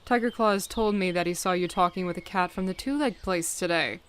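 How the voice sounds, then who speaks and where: A woman voicing a calm female clan leader cat who questions in a measured, probing way. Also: fairly low pitch, clear, resonant tone, close to an everyday voice. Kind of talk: fan audiobook voice acting.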